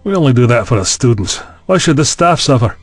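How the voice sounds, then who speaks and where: A man's voice: mid-range voice, very animated, a little fast, soft background music. An actor playing a tactless, sceptical male university chancellor in a science fiction audio drama, blunt and questioning in manner. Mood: grumbling.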